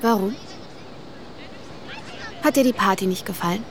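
A young woman playing a curious, forthright, gently teasing teenage girl in a naturalistic audio drama, with a direct, questioning manner. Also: medium pitch, a little slow, natural voice. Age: young adult. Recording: outdoor ambience, faint traffic noise.

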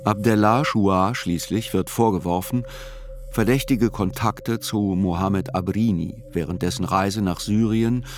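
A man acting as a writer who narrates a trial report in a radio play, measured, sober and precise. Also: fairly low pitch, slight background noise, lightly acted.

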